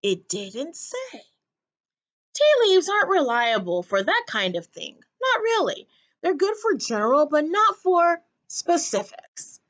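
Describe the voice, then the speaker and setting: A grand, scolding old lady of the theater from a storybook, as a woman reader acts her out. She speaks slowly and emphatically, with a high voice that rises and falls sharply. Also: big pitch swings, a bit bright, heavily acted. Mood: matter-of-fact. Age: older. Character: haughty, scolding elderly retired actress, knitting and snorting.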